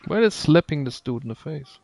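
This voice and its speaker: A man's voice: on the high side, animated, a little fast, vocal fry, a little mellow, no room sound. A man giving casual live video game commentary, muttering to himself as he plays.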